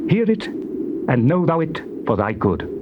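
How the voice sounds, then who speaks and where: An actor playing a solemn, admonishing friend in a dramatized Bible reading, theatrical and measured in delivery. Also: male voice, on the high side, very animated. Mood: exhorting. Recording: muffled, noisy old cassette tape.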